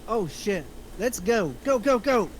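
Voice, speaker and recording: A man's voice: high voice, expressive, slow, a little hiss or hum. A man playing an immature, cocky, foul-mouthed slacker in a script reading, casual and dismissive. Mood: alarmed. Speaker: actor at a table reading.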